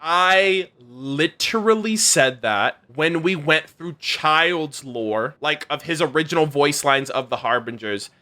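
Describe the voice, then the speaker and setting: A young man doing casual streamer reaction commentary, expressive and slangy with plenty of swearing. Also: fairly high pitch, a little slow, few pauses. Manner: animated, slangy, profane, expressive. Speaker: streamer reacting to game voice lines.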